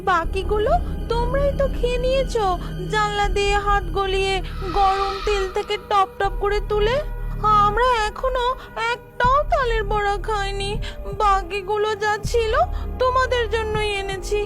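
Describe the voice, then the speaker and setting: A woman's voice: very high voice, fairly even intonation, measured pace, loud music behind the voice, pitched above the performer's own voice. A woman voicing an earnest, pleading village child protective of a sibling in a cartoon story.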